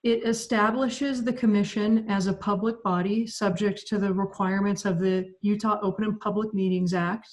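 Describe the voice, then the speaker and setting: A woman explaining a resolution to a public commission over a video call, clear and methodical with occasional fillers. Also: mid-range voice, fairly even intonation, moderate pace, hardly any pauses, poor audio. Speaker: city staff presenter.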